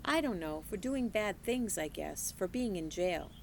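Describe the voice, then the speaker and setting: Curious storybook girl, eager questions, animated pitch; woman reader voicing her. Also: unhurried, creaky, slight background noise. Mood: earnest.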